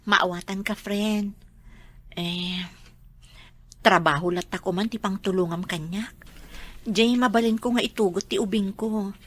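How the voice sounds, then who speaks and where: A woman's voice: mid-range voice, steady tone, measured pace, pauses often, lightly acted. A woman playing a poor, humble, pleading young mother in an Ilocano radio drama. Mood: weary, anxious.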